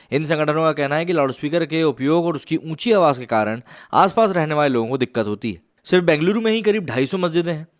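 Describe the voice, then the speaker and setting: A young man reading news reports for his online channel, mostly in formal phrasing with casual asides to the viewers. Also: a little high. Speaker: news commentator.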